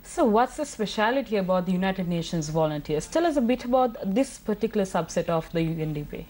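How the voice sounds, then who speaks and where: A woman's voice: medium pitch, animated, fast, a little mellow. A woman hosting a TV business interview, introducing her guest formally and asking questions with a few hesitations. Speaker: TV show host.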